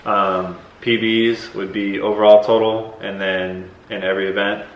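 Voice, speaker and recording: A man's voice: on the low side, flat delivery, moderate pace, lo-fi sound. A young man hosting a casual question-and-answer vlog, talking loosely with lots of fillers. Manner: loose, hesitant, many fillers. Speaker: vlogger host and decathlete. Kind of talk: casual Q&A chat. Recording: echoey with faint noise.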